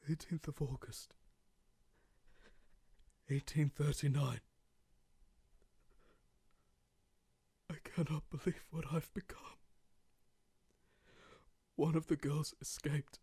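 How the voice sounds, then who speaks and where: A man's voice: fairly high pitch, fairly even intonation, slow, pauses often, dark tone, husky, dry recording. A man playing a tormented, guilt-ridden diarist sinking into madness, in an intense, formal horror-game monologue. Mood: anguished, breaking down. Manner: theatrical, formal old-fashioned diction, intense. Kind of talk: dramatic monologue, video game horror fandub.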